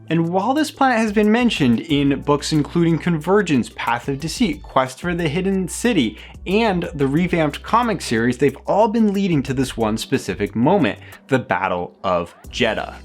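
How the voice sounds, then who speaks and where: A man hosting a review video, reading scripted commentary as a content creator. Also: fairly high pitch.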